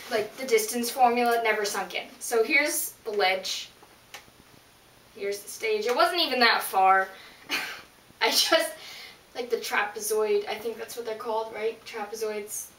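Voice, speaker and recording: A woman playing a male rock singer in a mock interview, halting, deadpan and rambling. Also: on the high side. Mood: rambling, sheepish. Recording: roomy, distant mic, faint noise.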